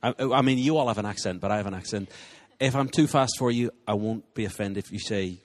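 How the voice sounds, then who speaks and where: A man's voice: fairly low pitch, fast talker. A man in his thirties opening a church talk in a friendly, self-deprecating way, with hesitant starts. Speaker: guest preacher. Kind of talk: sermon introduction.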